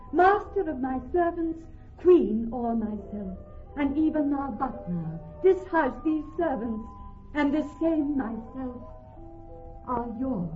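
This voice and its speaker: An actress playing a devoted, decisive young noblewoman in a classical radio drama, speaking formal verse. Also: on the high side. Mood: tender, devoted. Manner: formal, eloquent verse delivery. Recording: tinny, band-limited vintage radio, music behind.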